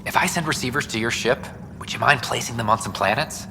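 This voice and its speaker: An actor playing an overworked, earnest young news reporter in a video game, chatty, wry and self-deprecating. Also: male voice, medium pitch, fast, lightly acted. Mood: wry, hopeful. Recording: reverberant hall with background noise.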